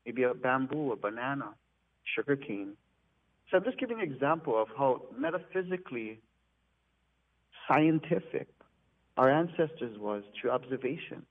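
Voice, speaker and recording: A man from Hawaii, a guest on a radio interview, explaining with earnest, halting speech full of fillers and restarts. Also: mid-range voice, no room sound. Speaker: guest, Hawaiian language teacher. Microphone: phone call. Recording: tinny phone line, dry.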